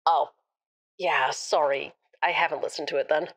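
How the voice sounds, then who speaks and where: A woman playing a casual young acquaintance on a phone call, talking in a halting, hesitant way. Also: low-pitched, steady tone, dry recording, clean studio sound. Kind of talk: naturalistic audio drama phone conversation.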